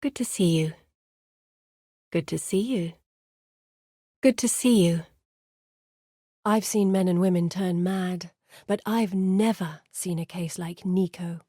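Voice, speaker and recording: A burdened woman explorer from a fantasy video game. Her voice is soft-edged and mellow, recorded very dry and close. She speaks earnestly and with measured, articulate gravity, as a guide who carries heavy responsibility. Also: fairly low pitch, no room sound. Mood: concerned. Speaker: video game character voice actor. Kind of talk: dramatic fantasy game dialogue.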